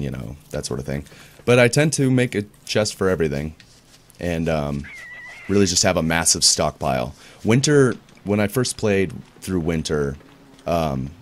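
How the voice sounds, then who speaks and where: Deep-voiced man, rambling game commentary. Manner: rambling, searches for words, laid-back. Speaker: gaming YouTuber. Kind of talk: casual let's-play commentary.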